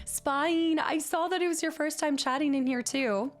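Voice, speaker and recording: A chatty woman streaming from her apartment and greeting her chat, slightly hoarse as she recovers from laryngitis. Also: high-pitched, normal speed. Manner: chatty and upbeat, rattles off viewer names. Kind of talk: casual live-stream chat. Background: faint background music.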